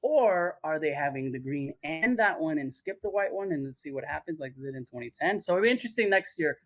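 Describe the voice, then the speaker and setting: A man's voice: fairly high pitch, lively intonation, a little fast, no room sound. A man talking as a podcast guest about branding work, loose and chatty with many fillers. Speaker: podcast guest who works on athlete branding. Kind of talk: casual podcast chat.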